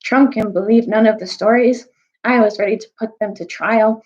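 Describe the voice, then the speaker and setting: A woman's voice: on the high side, fairly even intonation, moderate pace, darkish tone, highs cut off, close to an everyday voice. A woman voicing a bitter, scheming male dwarf in a storybook, grudging and argumentative. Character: bitter, scheming male dwarf plotting against old legends.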